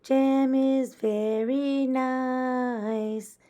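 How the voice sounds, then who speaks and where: A woman voicing a picky little girl animal in a children's storybook, with a plaintive, sing-song, drawn-out delivery. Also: sits high, steady tone, mellow, voice pushed up.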